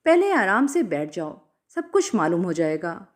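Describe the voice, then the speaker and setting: A stern, zealous cleric voiced by a woman storyteller, commanding and preachy. The delivery swings in pitch as he justifies himself and lays down orders in an audiobook tale. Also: big pitch swings, a little slow. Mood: menacing.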